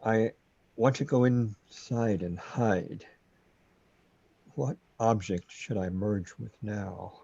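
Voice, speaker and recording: A man acts out a desperate, panicking man in a sci-fi radio play. His voice is mellow and husky, and he falters and pleads slowly. The recording is muffled and roomy. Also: lowish voice, heavily acted. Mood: desperate, panicked. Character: panicking man lost in the void, desperate and fretful.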